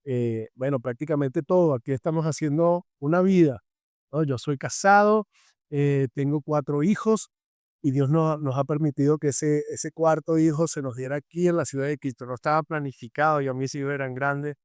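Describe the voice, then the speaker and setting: A man's voice: on the high side, moderate pace, clear, resonant tone, no room sound. Venezuelan man hosting a faith podcast. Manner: warm welcoming, many fillers and restarts. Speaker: podcast co-host.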